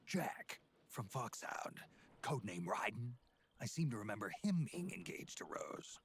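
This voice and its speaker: A male actor playing a gruff, world-weary old veteran soldier in a video game, with a growling, sardonic, terse delivery. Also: medium pitch, sing-song, unhurried, a little hiss or hum. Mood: terse, probing. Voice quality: gravelly, growling. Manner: terse, dry, questioning.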